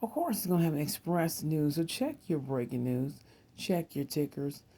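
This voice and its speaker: An American speaker in their thirties with a very dark, mellow tone and plenty of gravelly fry. The delivery is a casual, wandering monologue to viewers, full of repeated phrases and side trips. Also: mid-range voice, very animated, moderate pace. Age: 30s. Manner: repeats words and phrases, digressive. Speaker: tarot and psychic reading video host.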